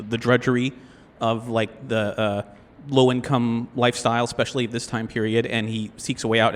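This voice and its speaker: A man's voice: medium pitch, a bit bright, natural voice. Man playing nervous, stammering young acting student. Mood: earnest, halting. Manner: halting, stammering, apologetic, eager to please. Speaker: role-playing game player. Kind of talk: improvised audio role-play.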